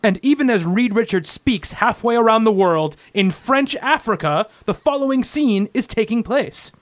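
A man's voice: high voice, animated, moderate pace, no room sound, studio quality. A man narrating a comic-book radio play in a theatrical, portentous scripted style.

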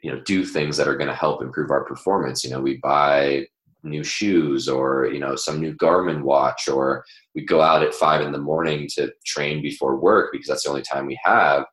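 Very deep-voiced man explaining nutrition. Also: steady tone, normal speed, a bit bright, reverberant. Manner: hesitant, many fillers and restarts, explanatory. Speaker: nutrition educator, athlete. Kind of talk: casual podcast conversation.

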